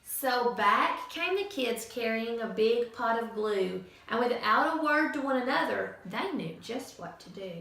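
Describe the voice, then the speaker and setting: A woman teacher reading a picture book aloud to children in an expressive, sing-song storytelling manner. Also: on the high side, unhurried, gravelly, few pauses. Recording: echoey room from far off.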